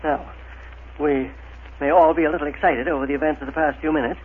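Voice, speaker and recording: A man's voice: on the high side, steady tone, quick pace, lightly acted. An actor playing a learned male scientist, precise, formal and theatrical, in a 1930s radio adventure serial. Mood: concerned, businesslike. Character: learned, deliberate scientist doctor with his own laboratory. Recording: muffled, noisy old 1930s radio.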